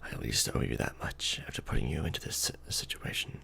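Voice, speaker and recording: A man playing a courtly, protective, brooding vampire lord in a soft, formal ASMR romantic roleplay. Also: a bass voice, animated, creaky, full character voice. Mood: hesitant, obliging. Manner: formal, archaic wording, slow and hushed.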